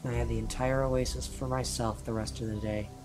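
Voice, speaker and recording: A narrator telling a scripted first-person story for an amateur radio play, in a dramatic, deliberate way. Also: medium pitch, level tone. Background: music.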